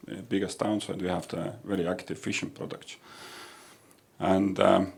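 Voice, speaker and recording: A man asking a question from a seminar audience, measured and searching for words. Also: low-pitched, little pitch movement, creaky, husky.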